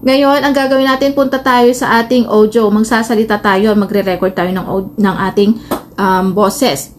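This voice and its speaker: A Filipino woman with a fairly high voice walks listeners through a phone tutorial. She explains casually and a little hesitantly, step by step. There is light noise in the amateur recording. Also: steady tone, moderate pace. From Philippines. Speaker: tutorial host, vlogger.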